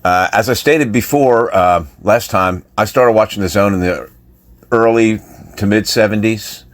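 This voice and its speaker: Older american guy, low deep voice, leaving a casual message. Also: measured pace. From United States. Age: 60s or older. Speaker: listener calling in with feedback.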